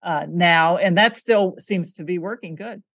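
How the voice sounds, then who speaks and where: A woman's voice: on the low side, a little slow, fairly bright tone, no room sound, studio quality. A woman hosting a podcast, asking long, encouraging questions. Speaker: podcast host. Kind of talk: interview questions.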